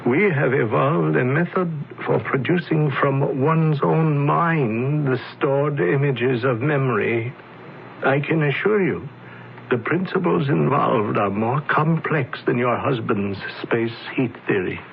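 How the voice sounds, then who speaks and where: Slow grave alien envoy, gravelly, formal, muffled old radio broadcast. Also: male voice, medium pitch, slight background noise. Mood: explaining. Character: calm solemn male alien envoy, grave and measured. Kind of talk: 1970s radio drama acting.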